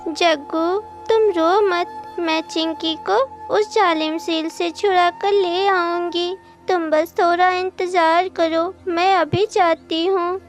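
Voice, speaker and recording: Woman voicing a very high-pitched childlike little cartoon bird. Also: steady tone, slow, hardly any pauses. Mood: brave. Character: small young songbird, childlike, earnest and kind. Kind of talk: children's cartoon dubbing in Hindi. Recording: music behind the voice.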